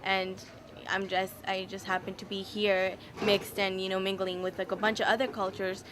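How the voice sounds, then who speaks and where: A woman's voice: medium pitch, flat delivery, normal speed, background noise. A woman from Mexico answering interview questions in an easygoing, rambling way.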